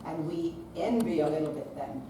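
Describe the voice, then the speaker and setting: A woman's voice: sits low, normal speed. Finnish woman projecting in an echoey hall. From Finland. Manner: projects her voice without a microphone, animated and chatty. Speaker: conference speaker, school principal. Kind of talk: presentation to an audience. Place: large lecture hall. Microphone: far camera. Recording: echoey lecture hall from far off, noisy.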